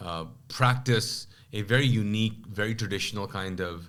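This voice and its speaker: A man in his forties who grew up in Kuwait, speaking Urdu as his first language, telling his story as a pastor in a relaxed interview, with lots of fillers and restarts. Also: on the low side, fast talker, clean tone. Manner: rambling, many fillers and restarts. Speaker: pastor and podcast guest.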